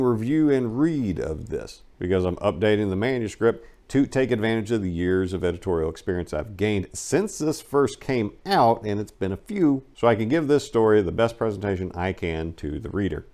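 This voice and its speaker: A man's voice: lowish voice, big pitch swings, moderate pace, hardly any pauses, darkish tone. A man reading his own book as a dramatic first-person narration, with a colloquial, cocky storytelling manner.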